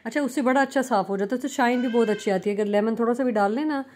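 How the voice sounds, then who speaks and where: A young adult woman chatting through a home cleaning vlog as a relaxed voice-over, full of fillers and restarts. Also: a little high, very fast, slight background noise. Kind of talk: casual voice-over narration. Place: home kitchen.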